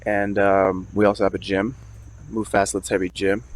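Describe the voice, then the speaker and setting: A man's voice: on the low side, little pitch movement, moderate pace, rough recording. Easygoing guest man on a call. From Brooklyn, New York. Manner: easygoing and friendly. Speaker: guest, fitness business owner. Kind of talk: casual interview chat.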